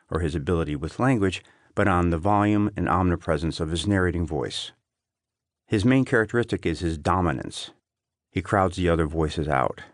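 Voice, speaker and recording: A man reading an essay as an audiobook narrator, in a measured, clearly phrased delivery. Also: deep voice, dry recording, studio quality.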